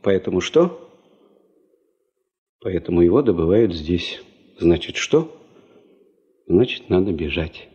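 A folksy, joking old-time prospector in a seventies Soviet radio play. His voice is husky and mellow, swinging widely as he spins mock-grand phrases. The tape sounds a little dull. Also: low voice, sing-song, takes it slowly, pauses often, full character voice. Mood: brisk, teasing. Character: chatty, wry working man, ex-sailor and prospector.

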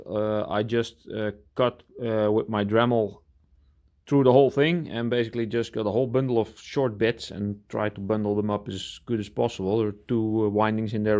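A man talking casually through a hands-on demonstration in a workshop, halting and thinking aloud as he goes. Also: fairly low pitch, darkish tone. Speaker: hobby scrapper presenting his own video. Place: indoor cluttered workshop.

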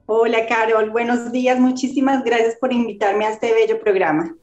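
A woman from Bogotá speaks as an interview guest, her voice a bit high and her manner earnest and reflective. Her laptop mic picks up a roomy, echoing space on the video call. The sound is dull and lo-fi. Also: steady tone, moderate pace, a crowd in the background. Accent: Bogotá Colombian. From Colombia. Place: home room indoors.

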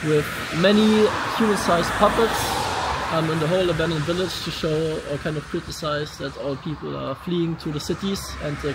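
High-voiced young German man. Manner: laughs often, animated storytelling. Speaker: travel companion. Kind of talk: casual chat. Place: in a car and outdoors. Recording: loud background noise.

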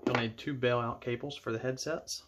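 A man giving measured, matter-of-fact step-by-step instructions as he demonstrates an equipment setup indoors at a table. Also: mid-range voice, steady tone. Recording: clear background noise with clicks.

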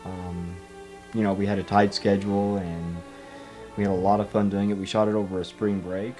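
A man's voice: on the low side, level tone, moderate pace, warm tone, faint music behind. A man reminiscing casually in an interview about his amateur voice acting. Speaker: amateur voice actor being interviewed.

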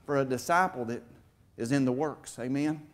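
A man's voice: medium pitch, moderate pace. A middle-aged man preaching a heartfelt testimony, with emphatic swells and an emotional edge. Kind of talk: sermon testimony. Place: church sanctuary with stage. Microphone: far camera.

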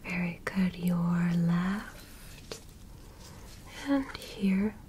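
Whispering, unhurried alien doctor played by a woman. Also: fairly low pitch, fairly even intonation, a little hiss or hum. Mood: soothing, clinical. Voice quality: breathy unvoiced whisper. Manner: soft, slow, soothing reassurance with clinical instructions. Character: gentle, curious female alien doctor examining an earthling. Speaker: ASMR performer. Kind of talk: whispered ASMR medical sci-fi roleplay.

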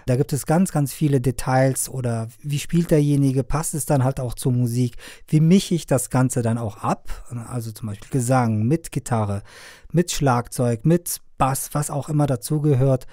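A middle-aged man from Afghanistan speaks in an unhurried, conversational way. He often pauses and hesitates with fillers. His tone is mellow and his voice sounds dry and close, as if recorded in a studio. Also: mid-range voice, dry recording. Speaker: sound engineer and media trainer. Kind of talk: conversational interview answers.

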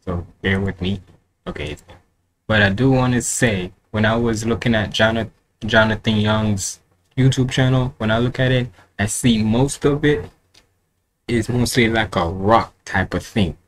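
A young man with a slightly low voice hosts a casual music reaction. He rambles in a colloquial way and circles back over his points. The home recording has some noise behind him. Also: a little slow. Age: 20s. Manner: rambling, colloquial, repeats himself. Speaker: reaction video host. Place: indoor room at home with curtains.

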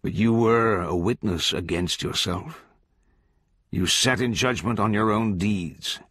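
A man voicing an eloquent, menacing judge in an audiobook, coaxing and grandiose, with deliberate, archaic phrasing. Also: lowish voice, a bit bright, slightly rough, dry recording, studio quality, exaggerated voice. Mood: grave preaching. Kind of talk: literary audiobook character voice.

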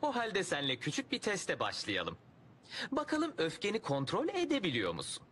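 Theatrical, swooping cartoon mentor. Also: male voice, high voice, huge pitch swings, normal speed, fairly bright tone, slight background noise, heavily acted. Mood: taunting. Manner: challenging, theatrical. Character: stern, taunting animal mentor in a cartoon. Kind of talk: animated cartoon comedy acting.

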